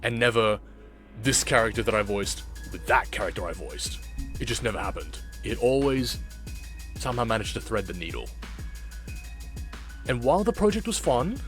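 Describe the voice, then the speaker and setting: A man narrating a reflective vlog in a deliberate way, with dramatic pauses and emphatic repetition. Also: lowish voice, very animated, faint music behind. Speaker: video creator, former amateur voice actor.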